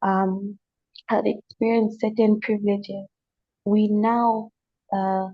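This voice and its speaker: A Zambian woman with a slight mixed accent, speaking earnestly on a panel, pausing and restarting as she thinks. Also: mid-range voice, little pitch movement, dull audio. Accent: slight non-Zambian accent from family. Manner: earnest, thoughtful, halting with restarts. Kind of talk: panel discussion.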